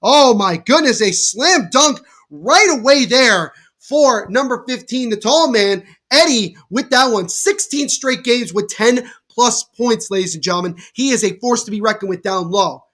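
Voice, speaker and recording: A young man from New Jersey with a stuffy cold, giving excitable play-by-play commentary on a livestream and talking to his chat. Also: very high voice, unhurried. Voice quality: nasal. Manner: excitable, bursts louder on big plays. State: congested from a cold.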